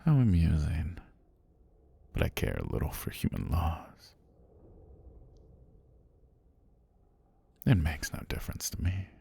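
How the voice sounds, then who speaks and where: A man voicing an ancient giant serpent god in an ASMR fantasy roleplay, languid and sinister, with formal, amused, softly menacing speech and a husky, breathy, gravelly voice. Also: a bass voice, huge pitch swings, normal speed, full character voice. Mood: amused, curious.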